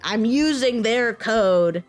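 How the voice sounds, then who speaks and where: A woman talking casually in a vlog announcement, informal and halting, often restarting her sentences. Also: fairly high pitch, lively intonation, faint music behind. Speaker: voice actor, software engineer and game modder.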